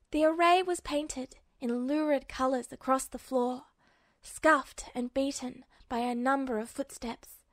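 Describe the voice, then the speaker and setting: A woman's voice: high-pitched, no room sound. A woman reading a story aloud as a scripted fiction narrator. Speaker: audiobook narrator.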